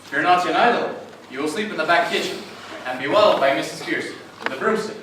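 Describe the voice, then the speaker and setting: A lordly, dismissive professor, played by a man, his voice set a little high and clipped. It comes from afar in a very echoey, noisy old recording. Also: expressive, fast talker, recorded from a distance, close to an everyday voice. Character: brusque arrogant male phonetics professor.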